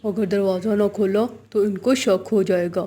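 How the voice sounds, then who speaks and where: A woman plays a scheming male crow in a children's cartoon. The tone is dark and muted, the delivery cold and calculating. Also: medium pitch, steady tone, moderate pace, natural voice. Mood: cold calculation. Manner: callous, calculating.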